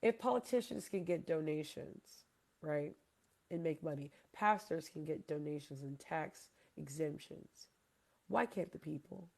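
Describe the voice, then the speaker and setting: Gravelly woman host, rambling and emphatic. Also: low-pitched, a little slow, darkish tone. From Hawaii. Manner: rambling, emphatic, asks listeners questions. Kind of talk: casual debate chat.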